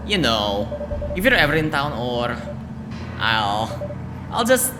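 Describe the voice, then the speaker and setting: A man's voice: medium pitch, sing-song, in a large hall, background noise. A man voicing an awkward, wistful young man in a visual novel, speaking hesitantly. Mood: shy, hesitant. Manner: hesitant, halting. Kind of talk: visual novel read-aloud. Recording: loud music behind the voice.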